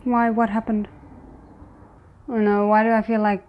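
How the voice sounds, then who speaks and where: A woman's voice: medium pitch, flat delivery, a little slow, muffled recording. A woman hosting a reaction video, commenting casually on a drama in short exclamations and musings. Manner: exclaims and muses aloud in short remarks.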